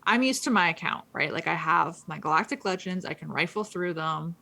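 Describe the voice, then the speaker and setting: A woman co-hosting a casual podcast, chatting quickly with lots of fillers and restarts. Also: fairly low pitch, creaky, sharp tone. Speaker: co-host. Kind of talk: casual gaming podcast chat.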